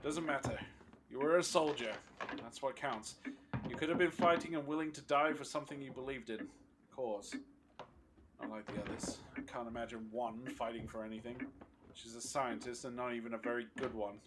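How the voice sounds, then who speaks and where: An actor playing a hardened, cynical resistance fighter with a curt, dismissive manner in an audio drama. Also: male voice, mid-range voice, steady tone, moderate pace, heavy background noise, close to an everyday voice. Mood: scornful.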